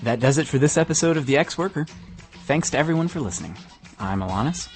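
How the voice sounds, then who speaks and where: A man's voice: a little high, lively intonation, fast, over loud music. A man co-hosting a podcast, reading scripted news. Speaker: podcast co-host.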